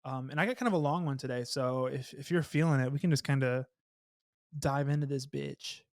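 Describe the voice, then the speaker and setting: A young man hosting a casual podcast, slightly hoarse, rambling and laughing as he talks, swearing now and then, on a studio mic in a small home studio. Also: mid-range voice, a little fast, no echo. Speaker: podcast host. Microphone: studio mic with headphones, on camera.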